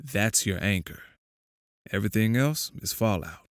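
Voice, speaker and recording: A man's voice: on the low side, animated, unhurried. A man playing a laconic, probing confidant in an audio drama, speaking in terse, clipped phrases. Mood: pensive. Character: laconic, probing male confidant who follows conspiracies. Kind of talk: audio drama, conversational dialogue.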